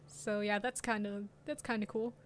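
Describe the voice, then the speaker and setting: A woman's voice: mid-range voice, steady tone, normal speed, slight background noise. Chatty German woman streaming a game. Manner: chatty, laughs often, reads chat aloud. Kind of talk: casual gameplay commentary.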